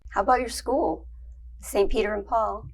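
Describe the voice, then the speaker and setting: A woman interviewing for an oral history, asking short, gentle, prompting questions. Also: medium pitch, steady tone, normal speed, a little hiss or hum.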